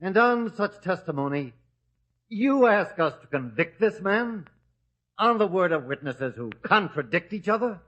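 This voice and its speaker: A righteous judge who speaks in a slow, deliberate and indignant way, his voice pitched high. The sound is a dull old radio recording. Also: expressive, fairly bright tone, full character voice. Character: righteous, defiant judge Joseph. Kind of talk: vintage radio drama acting.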